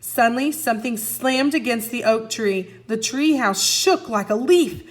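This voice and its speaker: A woman teacher reading a story aloud to young children, expressively, pausing to recap and ask the listeners questions. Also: fairly high pitch. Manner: expressive, asks listeners questions and answers for them. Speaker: first grade teacher.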